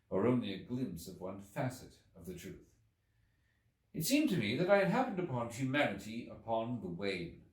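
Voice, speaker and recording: A man reading a literary story aloud as a narrator, with measured, dramatic and deliberate phrasing. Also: lowish voice, recorded from a distance. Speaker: audiobook narrator. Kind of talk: expressive literary audiobook narration. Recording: roomy, echoing, away from the mic.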